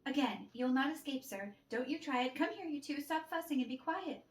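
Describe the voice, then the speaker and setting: A woman voicing a sassy, sharp-tongued lady's maid who scolds theatrically, as in a storybook play. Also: high-pitched, fairly quick, close to an everyday voice. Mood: scolding. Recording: roomy echo with music behind.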